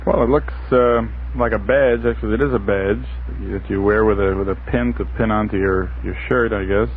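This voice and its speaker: A man's voice: lowish voice, steady tone, brisk, a little hiss or hum. Hesitant man on tinny old radio. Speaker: radio panelist. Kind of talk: casual radio panel chat. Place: radio studio.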